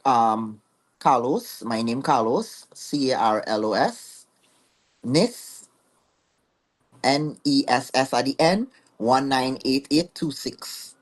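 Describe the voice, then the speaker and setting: Male guest, 30s, Trinidadian accent, soft-spoken, hesitant, brief answers, video call. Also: mid-range voice, expressive, takes it slowly. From Trinidad and Tobago. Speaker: dating show guest.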